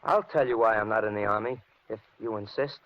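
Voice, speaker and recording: An actor playing a resentful, unstable young handyman in a 1950s radio suspense drama, accusing and taunting in a deliberate, brooding manner. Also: fairly low pitch, lightly acted. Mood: brooding, sullen. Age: young adult. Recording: muffled, noisy 1950s radio recording.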